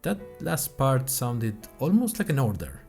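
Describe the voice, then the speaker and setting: A man's voice: medium pitch, wildly swooping pitch, creaky, over music, heavily acted. Male actor for wry, bemused college-age guy, fiction podcast diarist, mid-range voice, musing first-person narration. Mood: bemused, uneasy. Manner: dry, wry, musing in short deliberate phrases. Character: bemused, wry male university student keeping an audio diary.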